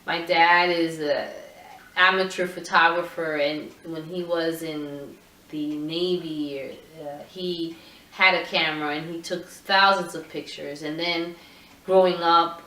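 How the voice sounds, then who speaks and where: A middle-aged woman from Puerto Rico, a photographer answering interview questions in a thoughtful, halting way with many restarts. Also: sits low, fairly even intonation, bright, forceful tone. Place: indoor room with her camera collection. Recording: roomy sound, mic at a distance.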